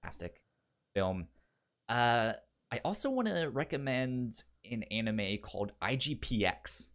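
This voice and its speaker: A man's voice: mid-range voice, very animated, unhurried, a bit bright. A man chatting casually as a podcast host giving recommendations, stuttering and repeating syllables and words as he goes. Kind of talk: casual film chat.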